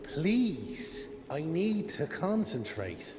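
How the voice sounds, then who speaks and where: Whiny vampire captive, high male voice, theatrical swoops, prissy, cowardly, video game character, reverberant. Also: very animated, extremely slow, noisy background, full character voice. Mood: fussy protest. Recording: long reverb, loud music underneath.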